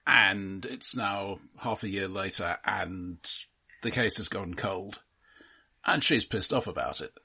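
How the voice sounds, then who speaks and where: A man co-hosting a podcast, explaining things in a measured way during casual film discussion. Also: deep voice, creaky, bright, forceful tone, breathy edge. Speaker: podcast co-host.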